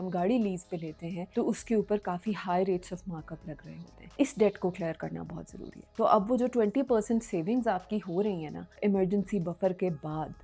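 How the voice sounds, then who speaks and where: A woman's voice: on the low side, mellow, husky. A young Pakistani woman with a Pakistani Urdu accent, explaining personal finance in a casual style and slipping into English phrases with frequent "right?" checks.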